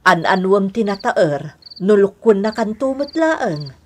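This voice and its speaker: Madamdaming inang Ilokana. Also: female voice, mid-range voice, sing-song, a little slow, a little hiss or hum. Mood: gentle urging. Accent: Ilocano. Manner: earnest, coaxing. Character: caring, pleading mother and new grandmother. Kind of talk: Ilocano radio drama acting.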